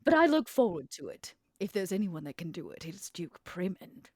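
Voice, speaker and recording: A woman plays a female vampire smuggler in a tabletop roleplay game. Her voice sits low and is recorded dry and close. She bargains coolly, with deliberate, persuasive phrasing. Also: big pitch swings, no echo. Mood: teasing, intrigued.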